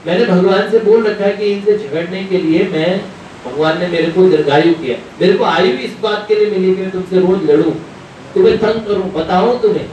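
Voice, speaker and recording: Higher-voiced man preaching in an echoey room. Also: fairly quick, darkish tone, muffled recording. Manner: conversational, rambling storyteller, often quoting dialogue. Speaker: spiritual teacher addressing devotees. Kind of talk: informal devotional discourse with anecdotes. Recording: very echoey room, distant mic, rumbling noise.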